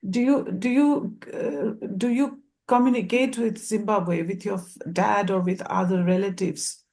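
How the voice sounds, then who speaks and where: A woman's voice: mid-range voice, fairly even intonation, a little slow, creaky, a little mellow. A woman moderating a panel interview, asking long rambling questions with repeated words.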